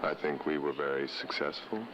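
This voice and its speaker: An actor playing a calm, self-assured superhero giving deliberate, matter-of-fact interview answers in a film bonus recording. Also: male voice, extremely low pitch. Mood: measured, self-assured. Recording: muffled, noisy old interview tape.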